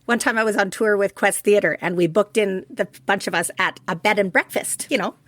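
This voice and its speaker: A woman's voice: mid-range voice, brisk, no echo. A middle-aged woman co-hosting a podcast over a video call, welcoming guests and telling stories. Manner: friendly interviewer, tells anecdotes. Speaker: podcast co-host. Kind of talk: casual chat.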